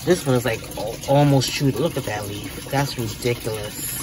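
A woman's voice: extremely low pitch, normal speed. A woman giving viewers a chatty tour of her backyard patio and garden, talking straight to them into a handheld phone outdoors. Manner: chatty, talks straight to viewers with lively exclamations. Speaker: home renovation vlogger. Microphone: handheld phone camera. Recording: outdoor ambient noise.

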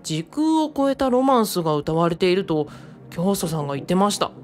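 Young female assistant for radio drama woman acting: courteous, modest, level-headed, with lively melodic delivery. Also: lowish voice, fairly quick, faint music behind. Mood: friendly, animated. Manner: courteous, animated.

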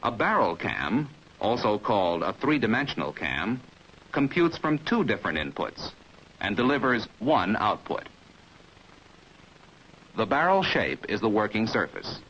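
An American man reading a scripted 1950s training film narration in a measured, precise, didactic manner, as heard on an old film soundtrack. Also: lowish voice, very animated, fairly bright tone, slightly rough, lo-fi sound.

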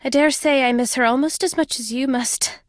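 A woman's voice: sits high, moderate pace, no echo, lightly acted. An actress playing a wry, guarded older woman with a British accent, a former spy in a video game, dry and fond in manner. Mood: wistful, grave. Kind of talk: video game character dialogue.

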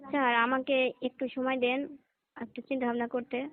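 A woman's voice: sits high, flat delivery, fairly quick, dry recording, natural voice. A young woman playing a poor, hesitant college student in a phone-call drama, deferential and reluctant as she explains herself.

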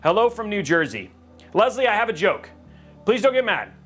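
A man's voice: sits high, very animated, normal speed, fairly bright tone, slightly rough, faint music behind. A man from Texas hosting a casual livestream, reading chat and joking with viewers, rambling and restarting his sentences.

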